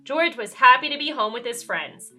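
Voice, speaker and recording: A woman reading a children's storybook aloud in an expressive way, speaking directly to young listeners. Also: high voice, normal speed, soft background music. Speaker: children's read-aloud host. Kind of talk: animated children's storybook narration.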